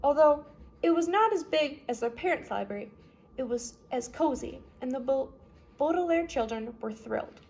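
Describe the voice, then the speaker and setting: A woman's voice: high-pitched, lively intonation, moderate pace, a little mellow. A woman narrating a children's novel as a read-aloud storyteller.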